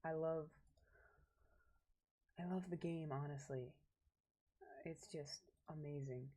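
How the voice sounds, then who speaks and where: Low-pitched, slow-talking woman streamer. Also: fairly even intonation, mellow. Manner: rambling, talks to chat and to herself. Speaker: game streamer. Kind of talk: casual livestream gameplay chat.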